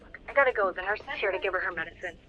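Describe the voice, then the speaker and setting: A woman playing an anxious, hurried family friend in an audio drama, agitated and confiding, heard through a telephone filter. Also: medium pitch, animated, slight background noise. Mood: exasperated, hurried. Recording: dull telephone-filtered sound.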